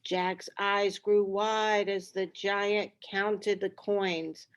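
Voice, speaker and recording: A woman reading a children's story aloud in an expressive, deliberate way. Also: mid-range voice, highs cut off. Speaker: storybook reader. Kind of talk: scripted children's story narration.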